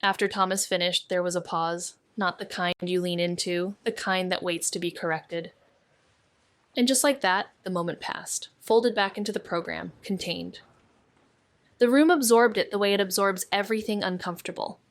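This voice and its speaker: An actress playing a watchful, cynical young woman sleuth, narrating a noir audio drama in a measured, wry way. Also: lowish voice, lightly acted. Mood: wry, restrained. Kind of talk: scripted noir narration and dialogue.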